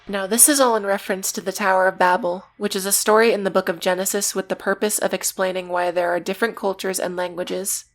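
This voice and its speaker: A woman's voice: mid-range voice, level tone, quick pace, few pauses. A woman narrating a scripted video essay as its presenter, with casual, conversational asides. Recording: music behind the voice. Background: background music.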